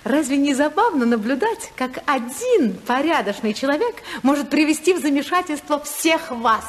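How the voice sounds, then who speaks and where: A woman's voice: high-pitched, moderate pace. An actress playing a spirited, self-assured senator's wife and mother in a 1950s radio play. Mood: proud, defiant. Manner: lively, ironic, assured. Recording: 1955 radio drama recording.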